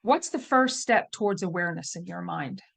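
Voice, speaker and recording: A woman's voice: on the low side, lively intonation, normal speed, creaky. A woman hosting a podcast, welcoming her guest and asking questions in an enthusiastic, affirming way. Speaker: podcast host. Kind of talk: podcast interview.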